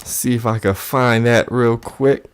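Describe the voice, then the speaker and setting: A man's voice: medium pitch, steady tone, measured pace, clear, resonant tone. A man hosting reaction commentary in a mocking manner, often repeating phrases for emphasis. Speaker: commentary host.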